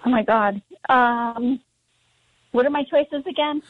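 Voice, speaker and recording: A woman's voice: a little high, slow, no echo, phone-quality sound. A woman calling in to a radio show, flustered and giggly. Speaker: radio caller.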